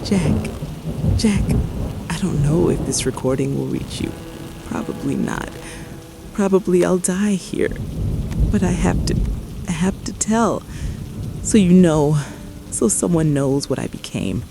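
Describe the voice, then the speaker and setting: An actress playing a guilt-ridden, traumatized mother in an apocalypse radio drama, confessing haltingly and repeating her phrases in distress. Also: fairly low pitch, expressive, creaky, noisy background. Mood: despairing confession.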